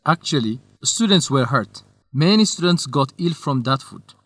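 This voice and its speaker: A man's voice: medium pitch, animated, normal speed, fairly bright tone, dry recording. An actor playing a principled young male student in an educational radio drama, speaking in a firm, corrective manner. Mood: firm, correcting.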